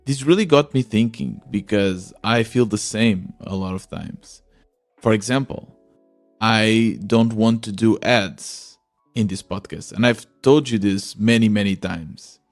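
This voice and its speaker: A man's voice: on the low side, measured pace, soft background music. A man hosting a podcast, narrating his own reflections in the first person. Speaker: podcast host.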